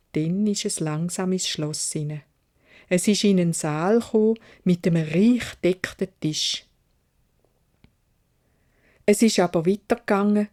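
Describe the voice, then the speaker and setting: A woman storyteller with a Swiss German accent reads a children's fairy tale. Her voice is fairly low and mellow, and she takes her time, shaping phrases expressively.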